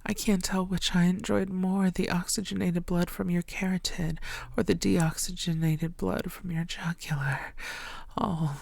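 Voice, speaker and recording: A woman playing a possessive, menacing female vampire in an intimate ASMR roleplay, teasing and often slipping into breathy half-whispers. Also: fairly low pitch, fairly even intonation, measured pace, a little mellow, no echo. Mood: savoring, possessive. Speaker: audio roleplay voice actor.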